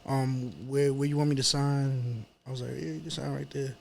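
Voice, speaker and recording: A man's voice: mid-range voice, level tone, brisk, gravelly, darkish tone, a little hiss or hum. A man with a New York accent chatting casually as an interview guest, telling stories in slangy, repetitive phrasing. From New York City, United States. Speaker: guest, rapper. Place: apartment.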